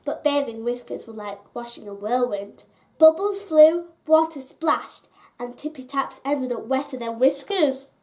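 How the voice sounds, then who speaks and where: Woman storyteller, high voice, unhurried pace, expressive children's story reading, deliberate emphasis.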